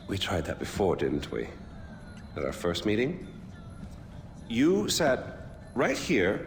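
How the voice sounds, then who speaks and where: An actor playing a flamboyant, smug tyrant king who mocks and threatens at a drawn-out, theatrical pace. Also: deep voice, gravelly, full character voice. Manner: mocking, theatrical, drawn-out menace. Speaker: game villain. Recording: hall reverb with loud music.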